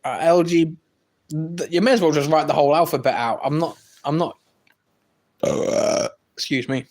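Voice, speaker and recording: A man's voice: on the high side, moderate pace, a little mellow. An English man hosting a live stream, reading chat aloud and trading brash, teasing banter with viewers. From England. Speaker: livestream host reading chat.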